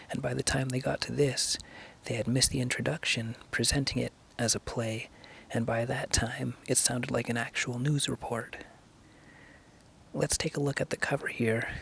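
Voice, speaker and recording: A man with a husky, gravelly voice hosting and introducing an old-time radio show, partly reading from text. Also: mid-range voice, a bit bright, a little hiss or hum. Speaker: channel host.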